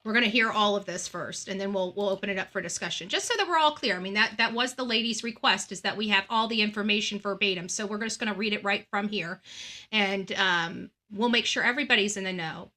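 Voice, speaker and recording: A woman's voice: medium pitch, fast talker, sharp tone. A woman hosting a live call-in show in a casual, blunt, opinionated way.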